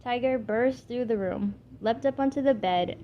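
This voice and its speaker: A woman's voice: a little high, moderate pace, warm tone, lo-fi sound. A woman reading a story aloud as an amateur narrator. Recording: clearly noisy amateur home recording. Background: background noises.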